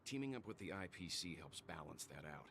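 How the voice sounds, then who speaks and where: An actor playing a stern, cautious mature man in an anime game, speaking in a grave, measured way. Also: deep voice, very animated, creaky, background noise, heavily acted. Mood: analytical. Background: background music.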